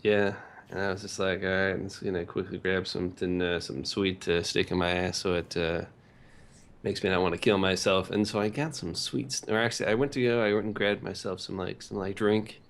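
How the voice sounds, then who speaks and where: A man casually telling crude, vulgar stories in a halting, repetitive, filler-heavy way. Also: low-pitched, steady tone. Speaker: co-host of a visual novel rant show. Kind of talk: casual rambling crude storytelling.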